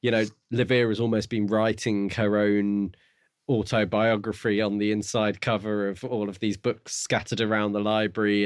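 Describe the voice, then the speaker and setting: Low-pitched man co-hosting a podcast. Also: no echo, studio quality. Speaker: podcast co-host. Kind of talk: casual book discussion.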